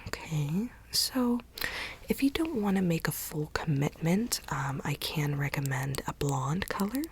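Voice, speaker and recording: Slow, whispering ASMR woman. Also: low voice, lively intonation, a little hiss or hum. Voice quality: breathy, unvoiced whisper. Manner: gentle, unhurried explaining to a client. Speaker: ASMR creator role-playing a microblading consultant. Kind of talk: ASMR consultation role-play, whispered.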